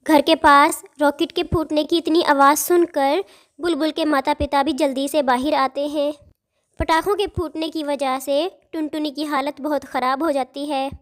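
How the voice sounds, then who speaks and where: A woman's voice: high voice, steady tone, moderate pace. A woman narrator reading a scripted cartoon story for children.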